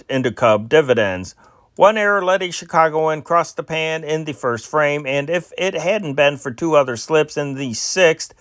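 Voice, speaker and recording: A man with a somewhat high voice reads old newspaper sports stories in his own amateur style. His pitch swings up at the start of phrases and drops low at their ends, with the odd slip and restart. The recording is close and dry. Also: fairly bright tone, no room sound. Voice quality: amateur home-made reading with small slips. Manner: sing-song reading with big rises and drops in pitch. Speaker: newspaper reader, channel host. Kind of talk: reading old sports news aloud.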